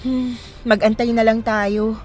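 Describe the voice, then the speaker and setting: An actress as a high-voiced, inquisitive young woman. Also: flat delivery, a little slow, a little mellow, faint music behind, natural voice. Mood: friendly. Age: young adult. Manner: casual, conversational. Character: friendly, inquisitive young woman queuing at a bus terminal. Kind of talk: naturalistic radio drama dialogue in Tagalog.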